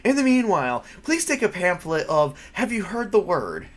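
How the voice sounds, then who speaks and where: A perky woman camp counselor from a tabletop horror game, acted out by a man. The voice is pitched high, chirpy and over-polite. She sounds hospitable and keen to please. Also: sing-song, normal speed, a bit bright. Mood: eager, helpful.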